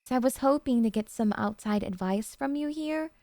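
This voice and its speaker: Sweetly unhinged yandere girlfriend played by a woman, highish voice, coy and needy, intimate ASMR roleplay, dry close sound. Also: normal speed, mellow, dry recording, lightly acted. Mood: sweet pleading. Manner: cutesy, coy, hesitant asides to a sweetheart.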